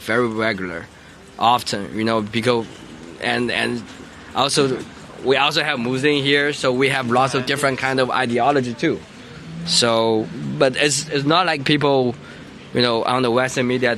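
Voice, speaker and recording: A man from China with a Chinese accent, chatting easily on a street livestream in halting second-language English with frequent restarts. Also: mid-range voice, sharp tone, slight background noise. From Taizhou, Zhejiang, China. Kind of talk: casual street livestream chat. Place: outdoors on a busy city street. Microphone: phone.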